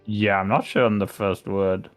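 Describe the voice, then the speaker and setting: A man's voice: lowish voice, fairly even intonation, moderate pace. A man chatting casually as he comments on and translates video game voice lines. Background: video game music and voice clips.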